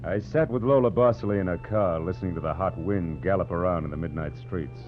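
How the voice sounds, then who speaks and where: Hardboiled noir detective, played by a man: American, very low, clipped and wry, muffled vintage radio sound with music. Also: brisk, natural voice. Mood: brooding, narrating. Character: hardboiled, wry, world-weary private eye. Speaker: lead actor and narrator. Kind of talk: 1940s noir radio drama acting. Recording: muffled old 1940s radio recording with music.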